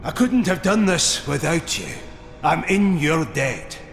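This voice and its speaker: A gravelly weary blacksmith. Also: male voice, on the high side, very animated, takes it slowly, faint music behind, heavily acted. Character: weary male village blacksmith, grieving but gruff.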